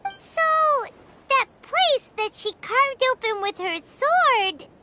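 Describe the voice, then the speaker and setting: A woman's voice: extremely high pitch, animated, slow, noisy background, heavily acted. An actress playing a tiny, childlike fairy girl companion in a video game, chirpy, earnest and naive. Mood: curious. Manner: chirpy, refers to herself in third person. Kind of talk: video game character dialogue. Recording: loud game music behind the voice.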